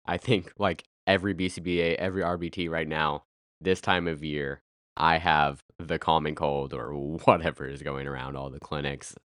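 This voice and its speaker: A man in his thirties hosting a podcast, speaking with a raspy, nasal voice from a head cold, earnest with bursts of playful energy. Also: rumbling low, little pitch movement, moderate pace, a bit bright, no echo, studio quality. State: congested with a cold, stuffy and nasal. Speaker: podcast host. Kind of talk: scripted podcast monologue.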